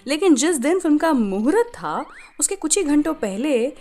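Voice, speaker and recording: A woman's voice: high-pitched, sing-song, normal speed, darkish tone. An Indian woman hosting a radio show, telling scripted stories in a chatty way straight to the listener and mixing in English phrases. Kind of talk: scripted radio storytelling and song introductions.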